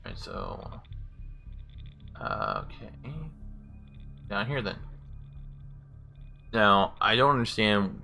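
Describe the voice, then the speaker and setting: Male gamer, bright forceful tone, gravelly creak, casual demo, spoken voice commands. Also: low voice, slight background noise. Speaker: gamer demonstrating voice packs. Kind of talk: casual demonstration, giving voice commands.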